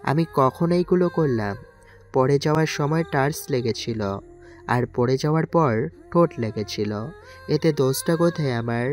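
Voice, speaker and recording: A man's voice: mid-range voice, moderate pace, soft background music, natural voice. A man playing a humble young man who answers accusations earnestly and defensively. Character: humble young man, poor but calm.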